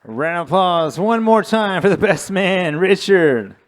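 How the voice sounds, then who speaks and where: A man acting as MC at a wedding, announcing the speakers loudly over the microphone. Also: high-pitched, expressive. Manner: slow, loud announcing.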